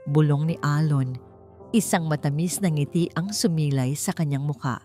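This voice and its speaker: Female storyteller, lowish register, measured dramatic read of romance fiction, faint music bed. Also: mellow. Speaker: story narrator. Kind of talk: scripted dramatic romance narration. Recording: music behind the voice. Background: background music.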